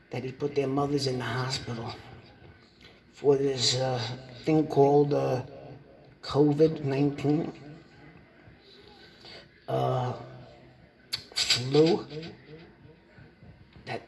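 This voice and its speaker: A man's voice: mid-range voice, darkish tone. A man playing a brash, foul-mouthed, middle-aged Italian-American man who rambles through a boastful comic rant in an Italian-American accent.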